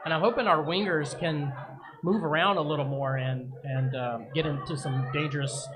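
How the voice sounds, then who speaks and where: A man co-hosting a live soccer show, talking in a relaxed, easygoing way. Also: a little high, moderate pace. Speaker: podcast co-host. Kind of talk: live casual soccer chat. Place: busy brewery. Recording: noisy live bar recording. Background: crowd chatter.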